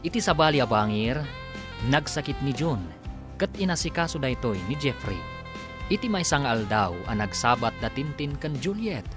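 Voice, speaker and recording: A man narrating a radio drama as its announcer, scripted and theatrical, with drawn-out title calls. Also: mid-range voice, sing-song. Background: music.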